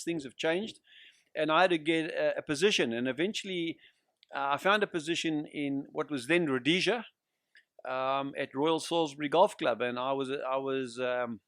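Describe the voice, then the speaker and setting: Older southern African man, higher-pitched voice. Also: fairly bright tone, no room sound. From Central Africa (Zimbabwe, Zambia region). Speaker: guest pastor and evangelist. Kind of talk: personal testimony in an interview.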